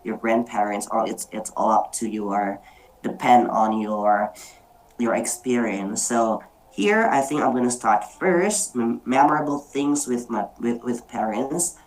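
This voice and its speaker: Very low-voiced woman moderating slowly. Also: a little mellow, poor audio. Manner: hesitant, many fillers and restarts. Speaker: meeting moderator. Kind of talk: online group discussion, personal storytelling. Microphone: video call.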